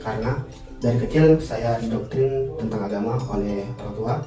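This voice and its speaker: A man's voice: mid-range voice, normal speed. Nineteen-year-old man, brief, echoey. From Indonesia. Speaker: student interviewee. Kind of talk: casual student interview. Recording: roomy distant mic with clear music.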